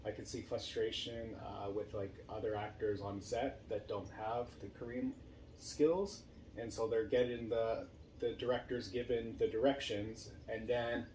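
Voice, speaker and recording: A man's voice: lowish voice, fairly even intonation, a little slow, a little mellow. A man talking casually as a podcast host and actor, telling stories in a room at a home. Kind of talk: casual chat. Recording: roomy echo, faint background noise.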